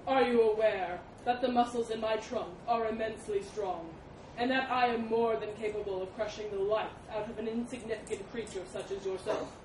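A woman's voice: on the high side, fairly even intonation, takes it slowly. A woman voicing a huge, proud male elephant in an animal fable, cold, haughty and threatening. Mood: cold, menacing. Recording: roomy, distant mic with background noise.

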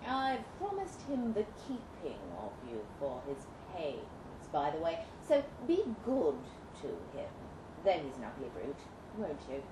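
A woman's voice: sits low, huge pitch swings, a little slow, pitched below the performer's own voice, full character voice. A woman voicing a coolly cruel, mocking male villain with formal old-fashioned diction in a melodramatic story reading. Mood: mocking threat. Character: suave, menacing Victorian villain lord, coolly cruel male captor. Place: indoor live literary event. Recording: roomy, noisy live venue recording.

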